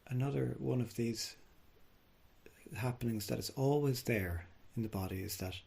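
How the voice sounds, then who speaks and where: A man leading a guided body scan meditation, speaking gently and without hurry, leaving long pauses. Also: medium pitch, creaky, husky. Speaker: mindfulness meditation teacher.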